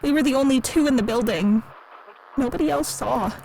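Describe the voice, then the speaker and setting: An actress playing a timid, lonely, naive museum worker in an audio drama, speaking hesitantly and earnestly in a recorded interview. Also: female voice, high-pitched, brisk, rough recording. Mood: timid, confiding. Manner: hesitant, soft-spoken, earnest. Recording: clearly audible background noise.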